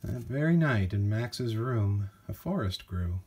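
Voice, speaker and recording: A man with a husky voice narrating a children's storybook from a script. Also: fairly low pitch, expressive, a little slow, a little mellow. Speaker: storybook reader.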